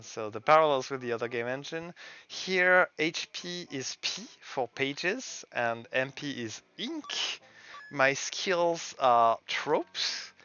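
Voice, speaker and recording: Slow-talking man, dull recording. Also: medium pitch, bright, forceful tone, clear, resonant tone. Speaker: game streamer and the game's creator. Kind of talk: casual gameplay commentary, reading game text aloud.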